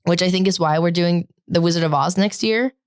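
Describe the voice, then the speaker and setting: A teenage girl co-hosting a podcast in casual chat, talking loudly and rambling with lots of "like" fillers. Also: fairly low pitch, fairly quick, clear, resonant tone, no room sound, clean studio sound. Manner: loud, projecting, rambling with many "like" fillers. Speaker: podcast co-host.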